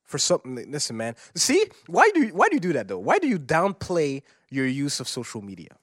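A man's voice: fairly high pitch, no room sound, studio quality. A man co-hosting a podcast, teasing his friends in casual banter. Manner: animated, teasing, quick-talking. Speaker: podcast co-host.

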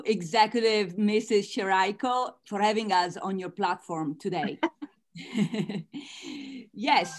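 An Italian American woman with an Italian accent, a psychologist answering interview questions earnestly and laughing lightly between points. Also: medium pitch. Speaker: psychologist guest.

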